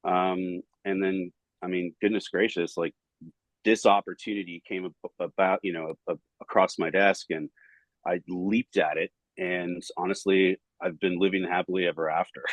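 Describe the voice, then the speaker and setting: A man in his forties with a Southern Californian accent, answering interview questions in an energetic, rambling way full of fillers. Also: sits low, moderate pace, no echo, poor audio. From United States. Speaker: podcast guest, startup banking director.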